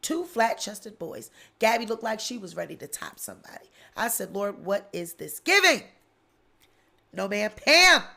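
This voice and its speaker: A woman's voice: mid-range voice, unhurried, gravelly. Sassy, nasal middle-aged woman gossiping. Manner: sassy, animated, swearing and laughing. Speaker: celebrity gossip host. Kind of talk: live-stream gossip commentary. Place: small studio with blue backdrop. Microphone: large studio mic on boom arm.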